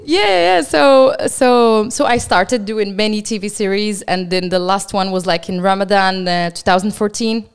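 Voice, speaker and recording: A woman from Morocco, a journalist and TV presenter whose first language is Arabic, telling her story as an interview guest with lively acted-out quotes. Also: mid-range voice, moderate pace, few pauses, clear, resonant tone. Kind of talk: casual interview chat.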